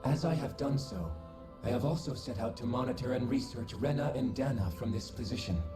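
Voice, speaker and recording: A man playing an ancient, aloof alien researcher in a video game, speaking in a formal, measured, detached way. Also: medium pitch, lightly acted. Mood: coldly explanatory. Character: ancient alien researcher, aloof, coldly logical, long-lived. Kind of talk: video game cutscene acting. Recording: echoing voice under loud game music.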